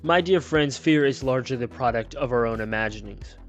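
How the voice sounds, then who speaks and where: A man's voice: medium pitch, brisk, dull audio, soft background music, lightly acted. A man voicing a smiling male television preacher who sermonizes in a sing-songy cadence. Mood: fervent. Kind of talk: storybook reading.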